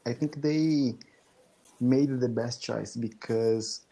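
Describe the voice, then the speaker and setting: A man in his twenties who is learning English, speaking slowly on a video call with frequent restarts and small laughs. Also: mid-range voice, warm tone. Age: 20s. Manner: slow and halting, restarts often, laughs between phrases. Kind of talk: casual video-call chat. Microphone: video call headset or laptop mic.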